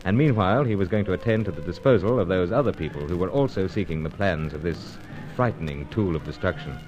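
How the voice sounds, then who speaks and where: A conscientious secret agent in a radio serial. The voice is low-pitched and dramatic, with a clipped, dutiful delivery. He sounds like an earnest hero of old-time adventure radio. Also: lively intonation, fast, music in the background, rough recording. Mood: tense narration.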